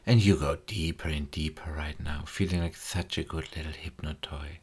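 A man speaking as a hypnotist in a trance recording, slow and soft-spoken, often sinking into whispers with coaxing, repetitive phrasing. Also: a bass voice, fairly even intonation, breathy edge.

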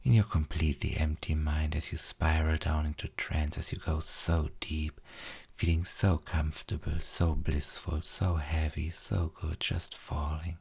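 A man guiding a hypnosis induction in a soft, repetitive way, often dropping to a whisper. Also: extremely low pitch, monotone, measured pace, creaky, husky.

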